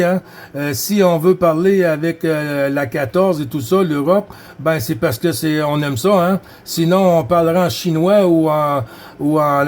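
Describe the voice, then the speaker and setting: A man's voice: a little high, a little mellow. A man with a Quebec French accent chatting casually as a CB radio operator, friendly and rambling. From Quebec, Canada. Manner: friendly, rambling, frequent Quebec filler words.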